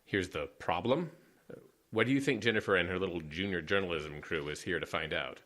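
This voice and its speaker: A man's voice: low voice, fairly quick. An actor playing a skeptical former lawman in an audio drama, ironic and bookish. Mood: wry. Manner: ironic, lively, quotes Shakespeare.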